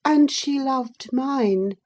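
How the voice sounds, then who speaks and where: A woman plays a sickly teenage boy from a storybook, pitched high and pettish. He draws out his words in a spiteful, self-pitying whine, as if every slight is an injury. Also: extremely slow, darkish tone, exaggerated voice. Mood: taunting, spiteful. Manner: whining, pettish. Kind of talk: dramatised audiobook character voice.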